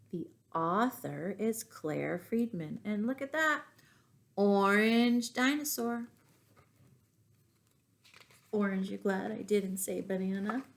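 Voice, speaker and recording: A woman reading stories aloud to young children, expressive, pausing to ask them questions. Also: mid-range voice, gravelly. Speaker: children's storytime reader and teacher.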